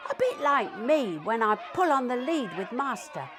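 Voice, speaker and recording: A woman doing an eager, squeaky young terrier. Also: big pitch swings, normal speed, noisy background.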